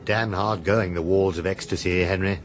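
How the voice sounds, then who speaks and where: A man's voice: deep voice, steady tone, moderate pace, very noisy, close to an everyday voice. A male actor in a radio comedy drama playing a dim, self-important, self-doubting politician who rehearses his speeches. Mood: amused. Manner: oratorical when declaiming, hesitant in chat.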